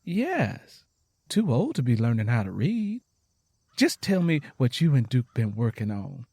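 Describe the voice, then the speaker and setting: A man's voice: medium pitch, wildly swooping pitch, unhurried, warm tone, slightly rough, dry recording, heavily acted. A man voicing a wary, teasing Southern country wife in her twenties, with blunt, folksy dialect, in an audio story. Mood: doubtful, hopeful.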